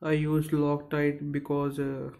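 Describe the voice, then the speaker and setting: Slow, halting man. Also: a little high, monotone, creaky, a little mellow. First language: Punjabi. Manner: hesitant, searching for words, many fillers. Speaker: DIY maker. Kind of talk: casual how-to narration.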